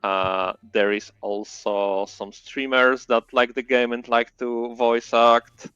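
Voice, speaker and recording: Man, Polish accent, non-native English, smooth resonant voice, dry close sound, slightly lo-fi. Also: medium pitch, flat delivery, a bit bright, dry recording. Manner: halting non-native English, many fillers. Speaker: indie game developer guest. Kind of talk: casual interview chat.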